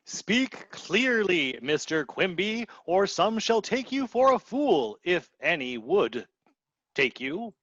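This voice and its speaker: A man's voice: sits high, sing-song, slow pace, a bit bright, no room sound, heavily acted. A man voicing a pompous, scolding professor who commands misfits in a mock-archaic radio play, with theatrical delivery. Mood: stern. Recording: dull, dry lo-fi video-call audio.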